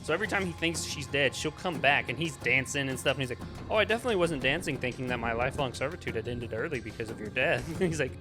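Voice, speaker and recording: A man's voice: mid-range voice, lively intonation, quick pace, few pauses, music in the background. A man hosting a podcast in a casual movie review chat, exasperated and slipping into mock dialogue. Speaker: podcast host.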